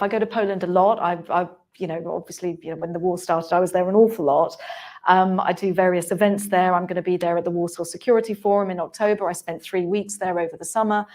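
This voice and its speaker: A woman speaking on a conference panel, chatty and candid, with frequent restarts. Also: mid-range voice. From England. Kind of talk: panel discussion. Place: conference hall.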